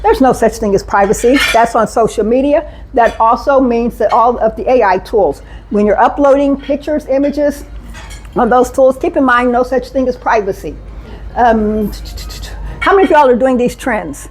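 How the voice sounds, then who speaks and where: Energetic woman giving a talk. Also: on the high side, moderate pace, a little hiss or hum, rough recording. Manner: energetic, engaging, asks the audience questions. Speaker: safety speaker. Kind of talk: live conference talk to an audience. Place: conference room.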